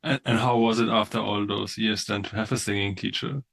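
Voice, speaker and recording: A man hosting a podcast interview who talks gently and encourages his guest with frequent soft affirmations. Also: fairly low pitch, steady tone, brisk, vocal fry. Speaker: podcast host.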